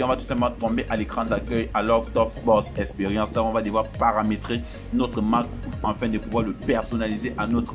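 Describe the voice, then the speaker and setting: Casual French-speaking man over music. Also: medium pitch. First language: not French. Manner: casual, reassuring, addresses viewers as friends. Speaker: tech tutorial host. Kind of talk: screen-recorded tutorial walkthrough. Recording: voice-over with loud background music.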